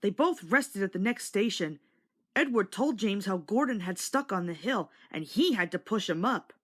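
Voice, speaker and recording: Animated woman narrating a children's storybook. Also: mid-range voice, moderate pace. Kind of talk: scripted children's read-along narration.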